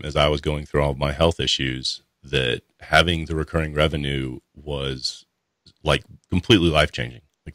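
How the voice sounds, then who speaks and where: A man hosting a podcast, asking relaxed, rambling questions of his guest. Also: very deep voice, no echo. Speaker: podcast host. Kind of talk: casual interview chat. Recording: dry close sound.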